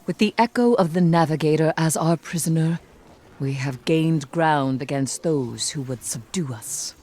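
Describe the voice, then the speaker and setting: A slow, solemn, vengeful sorceress queen. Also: deep voice. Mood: grave triumph. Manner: solemn, deliberate, imperious, incantatory. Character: grim, vengeful sorceress queen of a dark throne world. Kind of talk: dramatic video game cutscene acting. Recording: loud music under the voice.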